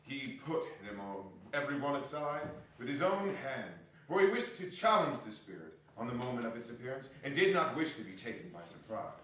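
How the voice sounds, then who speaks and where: A man's voice: medium pitch, big pitch swings, fairly quick, hardly any pauses, a bit bright. A man narrating a story aloud in a theatrical dramatic reading before a microphone in a hall. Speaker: narrator and reader. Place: performance hall, live reading. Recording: echoey hall, distant microphone.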